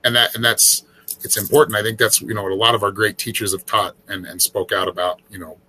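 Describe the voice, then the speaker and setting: A man's voice: lowish voice, flat delivery, quick pace, sharp tone, slight background noise, poor audio. A man in his forties who grew up in a small town, hosting an earnest, casual conversation about spirituality and now and then swearing to make a point. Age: 40s. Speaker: spiritual host.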